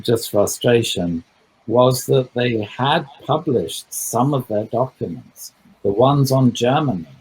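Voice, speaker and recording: A man with a fairly low, husky voice answers questions as a visiting historian. He talks in a thoughtful, digressive way and stops often to find his words. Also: darkish tone, poor audio. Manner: thoughtful, digressive, with frequent hesitations. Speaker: historian guest. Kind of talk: conversational book talk.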